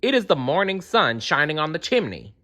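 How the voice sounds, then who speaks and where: A man's voice: on the high side, very animated, a little fast, pushed and bright, pitched above the performer's own voice. A man voicing a cold, impatient mother in a storybook, curt and dismissive. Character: cold, impatient, cruel mother of two children. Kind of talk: storybook character voice in a read-aloud.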